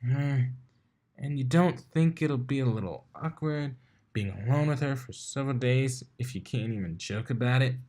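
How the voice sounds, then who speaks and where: A man's voice: medium pitch, normal speed, mellow, voice pushed up, lightly acted. A man puts on a laid-back female unicorn DJ from a pony fan fiction. She sounds nosy and playful, always teasing. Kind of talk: acted fan fiction dialogue.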